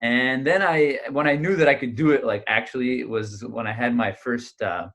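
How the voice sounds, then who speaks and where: Animated young male comedian. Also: mid-range voice, normal speed. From United States. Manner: animated storyteller, many fillers and restarts. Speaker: stand-up comedian guest. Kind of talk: casual interview chat. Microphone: video call.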